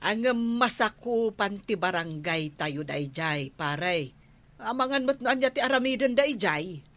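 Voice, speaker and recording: An actress playing an inquisitive, fretting village official's wife with a gossipy, questioning manner in a radio comedy drama. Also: female voice, medium pitch, animated, a little slow, a bit bright. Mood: concerned, nosy.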